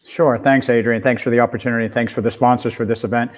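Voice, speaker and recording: An American man in his sixties speaks as a professional panelist. His pitch is average and stays fairly flat, giving an even delivery as he presents his background. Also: fast talker. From United States. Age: 60s. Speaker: panelist, healthcare executive. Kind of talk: professional self-introduction.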